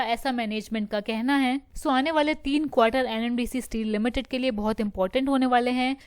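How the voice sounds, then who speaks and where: High, clear-voiced woman explaining stocks. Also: dry recording, clean studio sound. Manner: mixes English phrases into Hindi, steady explanatory delivery. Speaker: stock market video host. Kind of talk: scripted market news explainer.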